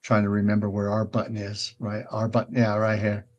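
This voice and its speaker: A man's voice: on the low side, monotone, fairly quick, highs cut off. A retired older man hosting a casual show, touring a virtual world and chatting in a rambling, conversational way, often checking in with the listener. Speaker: show host.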